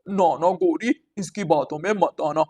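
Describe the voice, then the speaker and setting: A woman's voice: lowish voice, normal speed, mellow, slightly rough. A woman voicing a wary, protective crow husband in a children's cartoon story, speaking in an urgent, scolding and pleading way. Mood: alarmed warning. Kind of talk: children's animated story dialogue.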